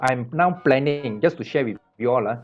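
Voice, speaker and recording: A man from Malaysia speaks casually on a business panel, at an ordinary pitch. He often stalls on repeated words and fills the gaps with "uh". The sound is dull and lo-fi, with faint music in the background. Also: expressive. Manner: halting, many repeated words and fillers.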